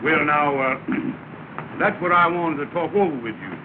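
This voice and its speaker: An actor playing a smooth-talking, scheming lodge boss with a coaxing, hedging, grandiose manner, in 1930s film comedy style. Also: male voice, a little slow, lightly acted. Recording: tinny, noisy 1930 film soundtrack with rumble.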